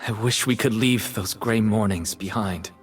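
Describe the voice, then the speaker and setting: An actor playing a sorrowful, reflective artist mage in a video game, speaking in solemn, poetic lines. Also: male voice, fairly low pitch, normal speed, soft background music, close to an everyday voice. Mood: melancholy.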